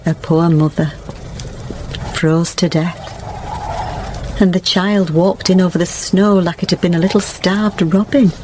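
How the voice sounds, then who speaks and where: A woman's voice: lowish voice, fairly even intonation, a little slow, long pauses, noisy background, close to an everyday voice. A woman playing a kindly, practical village countrywoman in a radio drama, with a rural English accent and a soothing manner. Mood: reflective.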